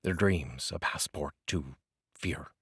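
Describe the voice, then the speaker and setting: Deep, husky man reading expressively. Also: slow pace, a bit bright, no room sound, clean studio sound. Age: middle-aged. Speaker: poetry reader, channel host. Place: small curtained home studio, indoors. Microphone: close studio microphone on boom arm with pop filter.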